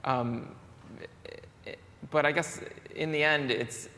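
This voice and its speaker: A man, an artist, answering onstage questions in a halting, trailing way full of fillers. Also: a little high, fairly even intonation, sharp tone, slight background noise. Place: conference hall stage. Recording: long hall reverb.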